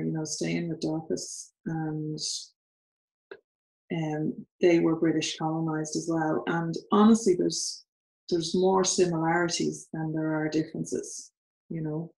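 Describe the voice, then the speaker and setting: A woman's voice: low voice, vocal fry, a little mellow. A woman in her thirties with an Irish accent, talking earnestly in a casual chat at home. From Ireland. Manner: earnest, thoughtful, laughs between points. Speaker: co-host. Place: home sitting room. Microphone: far camera. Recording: roomy echo in living room.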